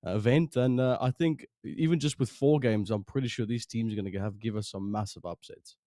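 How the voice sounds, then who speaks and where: A man from South Africa with a South African accent, co-casting an esports broadcast in a relaxed, somewhat hesitant way. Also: mid-range voice, brisk, dry recording, studio quality. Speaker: esports co-caster.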